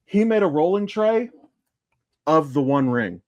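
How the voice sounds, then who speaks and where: A man's voice: a little high, expressive, unhurried, no echo, dull audio. A middle-aged man hosting a podcast in casual chat, rambling and swearing freely as he tells stories. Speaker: podcast host.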